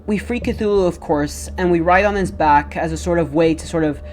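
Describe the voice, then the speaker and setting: A woman narrating a game lore video in a loose, rambling way, her throat still rough from a recent cold. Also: fairly low pitch, normal speed, darkish tone, a little hiss or hum. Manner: rambling, with many "like" fillers and self-corrections. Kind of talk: casual lore narration over gameplay.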